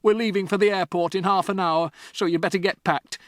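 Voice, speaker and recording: A bullying father snaps out curt orders, loud and raised above the reader's own voice, as a narrator puts him on. He sounds rushed and impatient. The storybook reading is dry and close. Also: medium pitch, fairly even intonation, no echo, pitched above the performer's own voice, natural voice. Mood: snapping.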